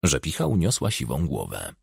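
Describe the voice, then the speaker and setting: Gravelly, expressive male audiobook narrator. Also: extremely low pitch, moderate pace, no room sound, clean studio sound. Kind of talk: scripted literary narration.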